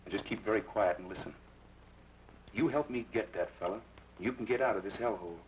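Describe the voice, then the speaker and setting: A man's voice: lowish voice, fairly quick, natural voice. An actor playing a tough, wry, commanding gunman with terse, sardonic delivery in old film drama style. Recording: dull, noisy old film soundtrack.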